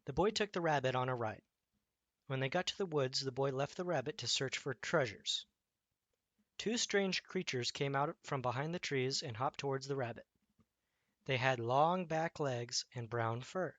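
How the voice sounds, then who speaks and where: A man reading a children's bedtime story aloud as an expressive storyteller. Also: mid-range voice, normal speed, no echo.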